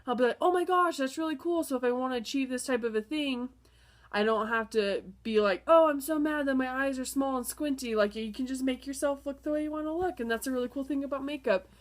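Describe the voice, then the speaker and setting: A woman's voice: high-pitched, brisk. A young woman with a stuffed-up nose from a cold, casually talking through a lesson plan with many fillers and restarts. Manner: chatty, filler-heavy, apologetic restarts. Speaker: student presenting a lesson plan. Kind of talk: casual spoken presentation.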